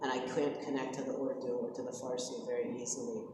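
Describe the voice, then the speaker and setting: A man speaking quietly to a gathering, introducing a poem he wrote. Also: medium pitch, flat delivery, fast talker, background noise. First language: not English. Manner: soft-spoken, recites poem with rising intensity. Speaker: speaker and poet. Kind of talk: talk and recited elegy. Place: large echoey hall. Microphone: distant from the mic. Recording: echoey hall from far off.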